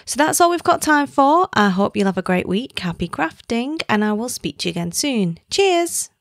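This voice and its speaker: A woman's voice: a little high, very animated, moderate pace, no echo. A woman in her thirties hosting a podcast, chatty and playful in casual conversation. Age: 30s. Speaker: podcast host. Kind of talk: casual chat.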